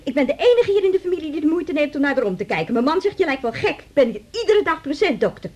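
An actress playing an overburdened, aggrieved daughter in a radio drama, complaining insistently. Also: female voice, high-pitched, sing-song, fast, darkish tone. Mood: aggrieved, exasperated.

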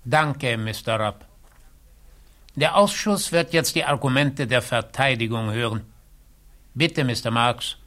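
A man's voice: mid-range voice, takes it slowly, pauses often, bright, forceful tone. An actor playing a formal, businesslike chairman of a hearing committee in a German radio play, with an official, courteous manner. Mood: formal, neutral.

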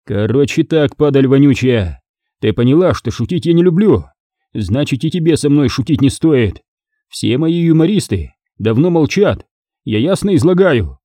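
A man's voice: mid-range voice, expressive, moderate pace, warm tone, dry recording, close to an everyday voice. A man playing a cold-blooded, mocking thug in an audiobook, taunting and domineering as he interrogates.

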